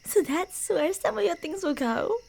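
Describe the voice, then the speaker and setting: A woman playing a delusional, playfully menacing yandere killer in an ASMR roleplay, teasing in a sing-song way and slipping into breathy whispers. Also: very high-pitched, expressive, moderate pace, darkish tone, a little hiss or hum, exaggerated voice. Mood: delighted obsession.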